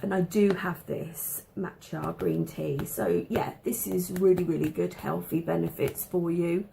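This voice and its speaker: A woman chatting casually to camera at home, with a slight cough and a dry throat, pausing often with ums and repeating words for emphasis. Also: on the low side, expressive, vocal fry, dark tone, slight background noise. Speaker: vlogger showing a food haul.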